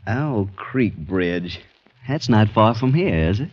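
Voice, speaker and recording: Deep-voiced genteel gentleman. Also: big pitch swings, full character voice. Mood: courteous, curious. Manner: measured, probing, genteel. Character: courteous Southern gentleman planter, quietly scheming civilian. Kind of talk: 1940s-50s radio acting. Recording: dull vintage radio recording.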